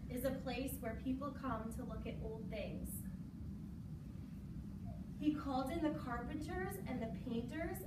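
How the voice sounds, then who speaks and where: A woman reading a storybook aloud to young children, pausing to prompt them with questions and check they follow. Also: high-pitched. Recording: echoey, very noisy room, distant mic.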